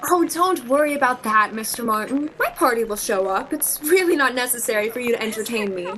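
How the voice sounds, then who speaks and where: Woman acting haughty, high-pitched young shop woman. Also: animated, a little fast, fairly bright tone, rough recording. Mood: haughty, cutting. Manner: haughty, cutting, theatrical. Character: proud, scornful young shop woman in vintage romantic comedy. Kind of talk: vintage radio play acting.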